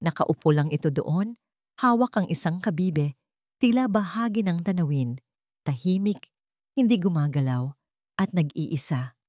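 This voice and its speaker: A woman's voice: fairly low pitch, lively intonation, a little slow, long pauses, warm tone, no room sound, clean studio sound. A woman with a Filipino accent reading dramatic scripted narration as a storyteller.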